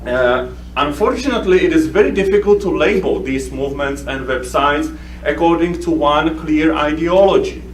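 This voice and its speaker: A man from the Czech Republic with a Czech accent, giving a conference talk as a researcher, speaking haltingly with many fillers and restarts. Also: fairly high pitch. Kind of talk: conference presentation. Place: conference hall. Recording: echoey room recorded from a distance with faint hum.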